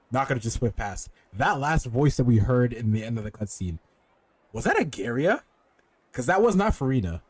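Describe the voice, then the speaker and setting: A man's voice: medium pitch, a little mellow, husky, dry recording. A man doing casual, slangy gameplay commentary as a streamer, repeating himself as he thinks. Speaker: game streamer. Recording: faint game music behind. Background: game music.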